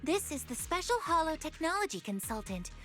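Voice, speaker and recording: A woman playing an eager young soldier in a game, forthright and earnest, with a crisp way of talking. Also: high voice, sing-song, a little fast, soft background music. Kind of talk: dramatic game dialogue.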